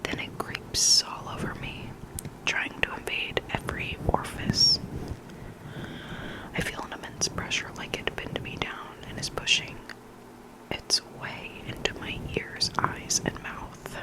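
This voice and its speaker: An ASMR host reading creepy listener stories in a soft, breathy, intimate whisper with deliberate phrasing. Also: rough recording.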